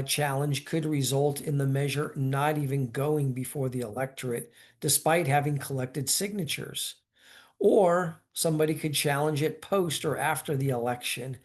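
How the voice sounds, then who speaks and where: A man lecturing in an explanatory, semi-scripted style, measured and formal, with halting pauses in mid-phrase. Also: on the high side. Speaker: lecturer explaining state law.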